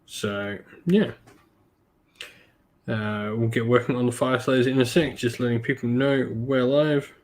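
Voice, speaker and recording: A man hosting a casual hobby stream, a little croaky from being sick, laid-back with lots of ums. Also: mid-range voice, fairly even intonation, unhurried, a little mellow. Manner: laid-back, many ums, greets chat viewers by name. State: slightly croaky from illness. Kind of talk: casual live-stream chat while painting miniatures.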